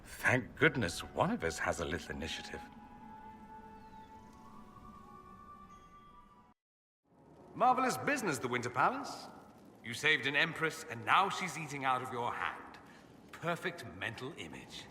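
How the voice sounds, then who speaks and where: Witty, theatrical young nobleman mage, lively swooping voice. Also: medium pitch, moderate pace, slight background noise. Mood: playful. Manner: theatrical, teasing, arch and ironic. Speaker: companion character in a fantasy role-playing game. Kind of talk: video game character dialogue. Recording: music under the voice.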